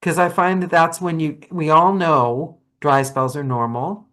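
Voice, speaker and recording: A woman hosting a podcast, chatting animatedly with her guest, with quick asides and self-corrections. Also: low-pitched, fairly even intonation, fairly bright tone. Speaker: podcast host. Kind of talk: casual interview chat.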